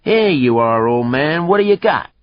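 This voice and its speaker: A man's voice: fairly high pitch, expressive, moderate pace, no echo, dull audio, voice pushed up, close to an everyday voice. A man voicing a belligerent, taunting cowboy bully in an audiobook.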